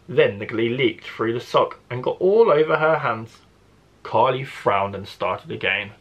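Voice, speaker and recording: A male primary school teacher reads aloud for children. His voice is mid-pitched and a little husky, and he tells the story expressively with careful, deliberate phrasing. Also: animated, a bit bright, lo-fi sound.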